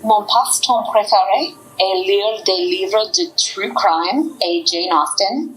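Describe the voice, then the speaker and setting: A woman's voice: medium pitch, takes it slowly, low-quality recording. A woman, a beginner student speaking careful, simple French as a podcast guest. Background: background music.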